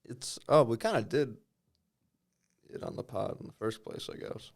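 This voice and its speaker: A man's voice: medium pitch, moderate pace, creaky, a little mellow. A man co-hosting a comedy podcast, joking casually, riffing on bits and laughing often. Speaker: podcast co-host.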